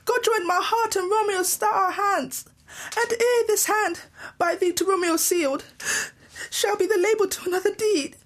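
A woman's voice: extremely high pitch, fairly even intonation, moderate pace. A woman playing a passionate, defiant young girl in love, declaiming in a Shakespeare audio drama. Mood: desperate. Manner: declamatory, impassioned.

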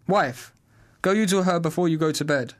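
A man playing a domineering, high-pitched noble father. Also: moderate pace, lightly acted. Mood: eager, commanding. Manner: commanding, declamatory. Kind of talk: student Shakespeare audio drama.

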